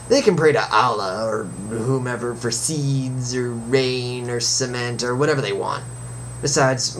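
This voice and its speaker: A man's voice: medium pitch, lightly acted. A man playing a cocky superhero who delivers a comic monologue in a folksy, theatrical, opinionated manner. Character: cocky adventuring male superhero chatting to fans. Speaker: show host in character. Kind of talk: comic monologue, reading a letter aloud. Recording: background noise behind the voice.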